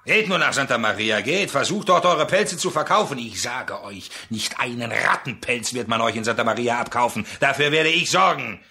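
An actor playing a domineering, short-tempered trading boss in a German radio play, barking and scornful. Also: male voice, mid-range voice, expressive, normal speed, vocal fry, hardly any pauses, bright, forceful tone, heavily acted. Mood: angry. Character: domineering, irascible male trading boss in the tropics.

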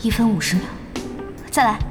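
A woman acting a self-assured young gamer in an audio drama, calm, polite and matter-of-fact. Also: fairly high pitch, normal speed, over loud music, natural voice. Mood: focused.

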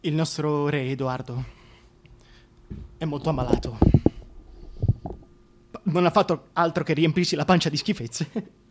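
An actor playing a bitter, scheming, deformed Shakespearean duke who pities himself, moving between declaimed verse and hushed confession in a radio drama. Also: male voice, on the high side, measured pace, long pauses, background noise, close to an everyday voice. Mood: confiding. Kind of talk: theatrical radio drama monologue.

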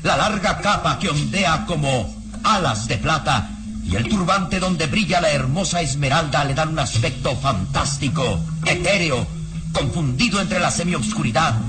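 Expressive, solemn male radio-serial narrator. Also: a little high, measured pace. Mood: solemn, atmospheric. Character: solemn, descriptive storyteller of a mystery serial. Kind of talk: dramatic scripted narration, old radio serial. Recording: old radio recording with music underneath.

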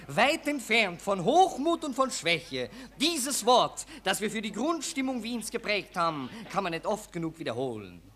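A man's voice: high voice, very animated, moderate pace, hardly any pauses, sharp tone, exaggerated voice. A man playing a pompous, self-important, cynical Viennese newspaper reporter in a 1940s German radio play, declaiming emphatically. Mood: grandiloquent.

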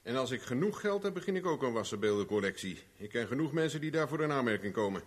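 An actor playing a cocky, shrewd private investigator in a 1970s radio play, mocking and self-assured. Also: male voice, mid-range voice, animated, fast, big-room sound, natural voice. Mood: mocking, confident.

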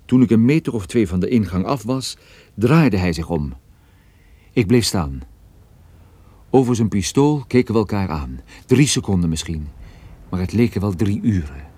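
An actor playing a hard-boiled private detective who narrates a radio play in a dry, wry, laconic way. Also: male voice, lowish voice, expressive, normal speed, long pauses, mellow, slightly rough, no echo. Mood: grim suspense. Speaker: narrator and protagonist.

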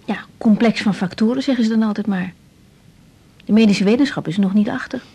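An intense young female doctor in a radio serial. Her medium-pitched voice is a little husky, with a Netherlands Dutch accent. She speaks confidingly in short, frank sentences, caring yet insistent. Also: a little fast, warm tone. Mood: reassuring. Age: young adult. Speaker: lead actress in radio serial. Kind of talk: 1980s radio drama, intimate monologue and dialogue.

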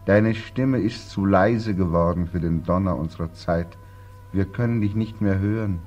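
An actor playing a bitter, exhausted, despairing young soldier home from war in a 1940s German radio drama, with a weary, brooding delivery. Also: male voice, sits low, normal speed, darkish tone, natural voice. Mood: bitter, accusing. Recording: muffled 1940s radio recording, faint music.

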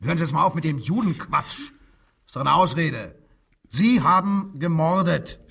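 An actor playing a cold, bullying German police commissioner in a radio drama, interrogating with insistent, deliberate emphasis. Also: male voice, fairly high pitch, lively intonation, gravelly, fairly bright tone, slightly rough, full character voice. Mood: cold, coaxing. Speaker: police detective.

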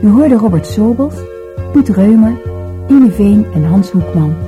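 A woman's voice: medium pitch, expressive, a little slow, narrow, tinny audio. A woman reading scripted closing credits as a radio drama announcer. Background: prominent music.

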